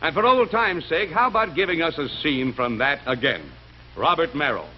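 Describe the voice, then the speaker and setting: A man's voice: a little high, expressive, normal speed, slight background noise. A man with an American accent presenting as host of a 1950s television show, on an old broadcast recording. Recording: dull 1950s TV broadcast audio, music behind.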